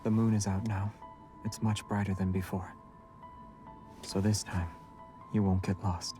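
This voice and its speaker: An actor playing a calm, guarded young monster hunter in a video game, soft-spoken and measured. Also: male voice, lowish voice, music in the background, natural voice. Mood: gentle.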